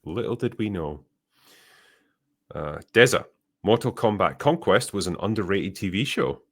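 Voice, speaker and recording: A man's voice: deep voice, animated, normal speed. A man hosting a podcast, reading out viewer messages and chatting casually, with some offhand swearing. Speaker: podcast host.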